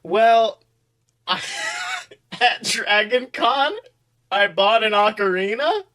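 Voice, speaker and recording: A man co-hosting a podcast with casual comedic banter in a playful, excitable, giggly manner. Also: sits high, slow pace. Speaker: podcast co-host and author.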